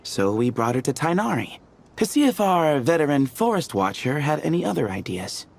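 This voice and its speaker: An actor playing an easygoing, teasing young man who chats playfully, with a gravelly voice. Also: a little high, sing-song, normal speed, a little hiss or hum. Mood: explaining. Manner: playful, chatty. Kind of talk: game cutscene voice acting. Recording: studio voice with faint music.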